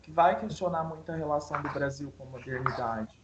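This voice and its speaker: A woman's voice: deep voice, fairly quick, lo-fi sound. A woman in an online book club, talking through a short story in a thoughtful, analytical way with frequent restarts. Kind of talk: casual literary discussion. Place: home, online video call. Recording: echoey room with noise.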